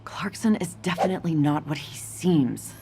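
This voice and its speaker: Lowish-voiced determined reporter. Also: female voice, expressive, moderate pace, background noise. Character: determined, sharp female newspaper reporter.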